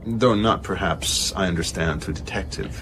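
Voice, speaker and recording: Pedantic, measured gentleman, faint ambience. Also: deep voice, noisy background. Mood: musing, aloof. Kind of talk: radio drama dialogue.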